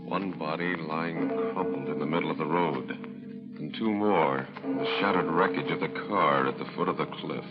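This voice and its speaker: A man narrating and hosting a vintage horror radio show in an ominous, theatrical, drawn-out manner. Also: very deep voice. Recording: muffled vintage radio, loud music behind.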